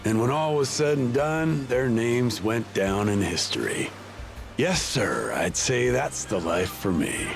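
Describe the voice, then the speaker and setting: A man's voice: mid-range voice, lively intonation, a little slow, creaky, soft background music. An actor playing an easygoing seasoned pirate crewman in a video game, talking in a folksy, musing way. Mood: wistful, earnest. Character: seasoned easygoing male pirate crewman with old-fashioned ideals.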